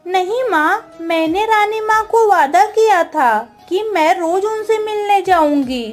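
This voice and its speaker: A woman's voice: extremely high pitch, measured pace, faint music behind. A woman voicing a devoted, obedient young village girl in a Hindi cartoon story, speaking earnestly and pleadingly.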